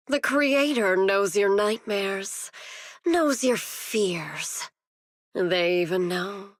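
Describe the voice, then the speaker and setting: Slow, sinister, tempting woman of darkness. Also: medium pitch, breathy edge, no room sound, studio quality. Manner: slow, deliberate, taunting delivery. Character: sinister seductive female emissary of a dark creator, coolly manipulative. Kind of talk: dramatic video game voice acting.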